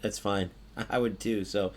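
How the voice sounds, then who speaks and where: Raspy-voiced young man, casual game talk. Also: on the low side, steady tone, unhurried. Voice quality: raspy, voice cracking. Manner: casual, filler-heavy, frequent "right?". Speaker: gaming channel host. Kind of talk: casual gameplay commentary.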